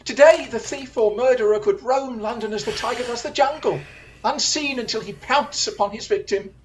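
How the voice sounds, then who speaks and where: A woman's voice: a little high, moderate pace, slight background noise, lightly acted. A woman playing a restless, haughty male detective in an audio drama, with a theatrical, disdainful, declamatory way of speaking. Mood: restless, scornful. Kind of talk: radio-style audio drama acting.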